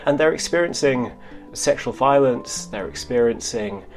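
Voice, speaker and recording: A man speaks as a historian in an interview, with a gravelly creak in a medium-pitched voice. He explains his points in a halting way, circling back to rebuild phrases. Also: expressive, soft background music.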